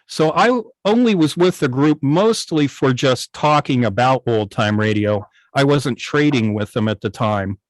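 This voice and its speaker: An older man with an American accent telling stories informally on a video call, halting and often pausing mid-thought. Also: mid-range voice, animated, no room sound, poor audio. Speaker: guest, old-time radio station operator. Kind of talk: informal storytelling in an online club meeting.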